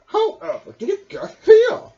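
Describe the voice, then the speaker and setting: Lo-fi, high emphatic storybook badger woman acting. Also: big pitch swings, unhurried, warm tone, heavily acted. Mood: puzzled, keen. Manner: exclaiming, emphatic. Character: kindly, decisive male badger leader, helpful and earnest. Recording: dull lo-fi home recording.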